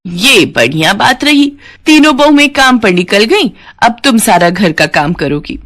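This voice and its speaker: A woman's voice: a little high, sing-song, unhurried, a bit bright, exaggerated voice. A woman voicing a meddling, quarrelsome aunt who gossips and needles in an insinuating way in a family story. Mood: needling.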